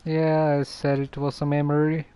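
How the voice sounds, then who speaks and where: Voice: medium pitch, monotone, normal speed, dark tone, clean tone. A game streamer giving casual livestream commentary, sometimes hesitantly searching for words.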